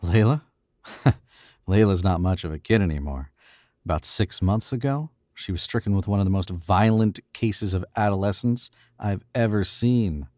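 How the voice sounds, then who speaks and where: A man's voice: on the low side, moderate pace, darkish tone, no echo, studio quality, lightly acted. A young man playing an arrogant, controlled murderer in a 1940s-style radio drama, with clipped, smug commands. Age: young adult.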